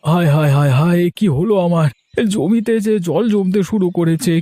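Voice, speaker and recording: A man's voice: high-pitched, moderate pace, dark tone, natural voice. A man voicing a poor, worried village fisherman and farmer in a cartoon story, speaking in a plaintive, lamenting way. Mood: lamenting, anxious.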